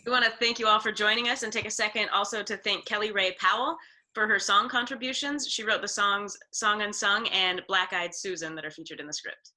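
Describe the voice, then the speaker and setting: A woman's voice: mid-range voice, fairly even intonation, brisk, bright, forceful tone. A woman presenting as assistant festival director at an online event.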